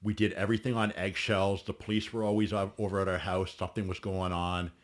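A man's voice: low voice, little pitch movement, quick pace. A man in his forties, a bodybuilder guest telling his story bluntly in a podcast interview, swearing freely and often restarting. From Ontario, Canada.

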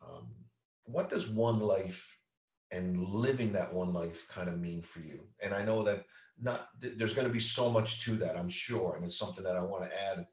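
A man hosting a podcast interview in a casual, halting way, with many ums and uhs. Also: sits low, recorded from a distance. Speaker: podcast host. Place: Newfoundland. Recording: echoey room, away from mic.

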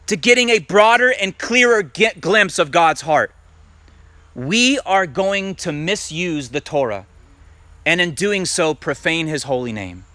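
A male preacher with a bright, ringing voice and a very animated pitch that swoops up and down. He reads scripture and teaches emphatically, asking his listeners direct questions. The sound is dry and close. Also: no echo. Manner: emphatic, rising to loud peaks when reading scripture; asks the congregation direct questions. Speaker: messianic congregation teacher. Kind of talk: sermon with scripture reading.

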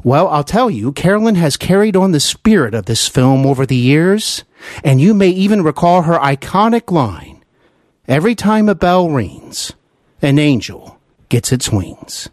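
Animated, higher-voiced male podcast host. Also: a little slow, no room sound, studio quality. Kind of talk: scripted introduction.

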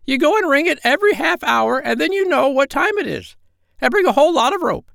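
High shrill know-it-all parrot, a seasoned female sailor, as a male storyteller puts her on. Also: sing-song, fairly quick, gravelly, fairly bright tone, no room sound, clean studio sound, exaggerated voice. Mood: bossy.

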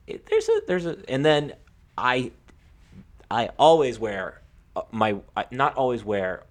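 A young American man co-hosting a podcast, talking casually about sports with a halting, repetitive storytelling manner. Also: mid-range voice, very animated. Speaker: podcast co-host.

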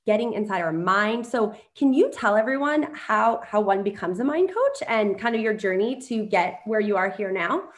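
A woman hosting a podcast interview while sick, her voice raspy and a little loopy, upbeat and gushing. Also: medium pitch, expressive, moderate pace, few pauses. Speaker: podcast host.

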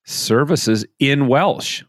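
Man, podcast host, curious and eager, quick reactions, mid-pitched voice, dry close mic. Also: animated, no echo. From family from southwestern Minnesota. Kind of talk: conversational interviewing.

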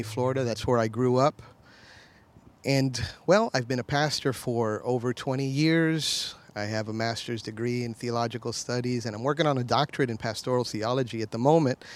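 Earnest storytelling man in his fifties. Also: medium pitch. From Miami, USA. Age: 50s. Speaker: pastor and guest. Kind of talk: conversational interview.

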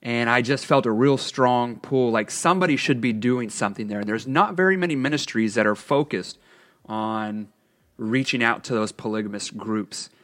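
Curious male interviewer, mid-pitched. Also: moderate pace, fairly bright tone. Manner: curious, encouraging questions. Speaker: interview host. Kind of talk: conversational interview.

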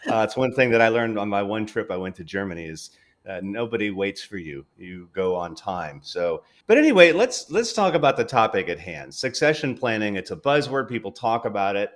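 A man's voice: lowish voice, very animated, a little fast. A man hosting an interview in casual chat, with an informal, jokey way of talking. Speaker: interview host. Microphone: online call.

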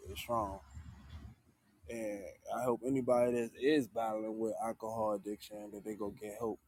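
Mellow-voiced laid-back man in his thirties. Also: on the low side, dry recording. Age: 30s. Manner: laid-back, repeats "man" at line ends. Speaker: livestream host. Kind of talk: casual livestream chat with viewers.

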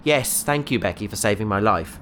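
A man playing an earnest, soft-hearted, talkative spaceship crewman in a sci-fi audio drama, wry and argumentative. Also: on the low side, expressive, a little fast, a little hiss or hum. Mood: grudging.

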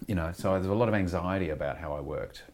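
A deep-voiced middle-aged man with an Australian accent talks through his working habits in a relaxed, reflective way. He rambles, restarts sentences and leans on "you know", breaking off with quick laughs now and then. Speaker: actor being interviewed. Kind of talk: reflective conversational interview.

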